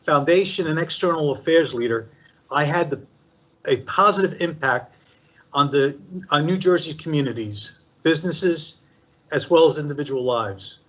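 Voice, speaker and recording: A man's voice: a little high, fairly bright tone. Older man giving formal remarks, lo-fi. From Puerto Rico. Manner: measured, deliberate delivery with listed points. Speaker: commissioner. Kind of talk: formal prepared statement at a public meeting. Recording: dull lo-fi meeting recording.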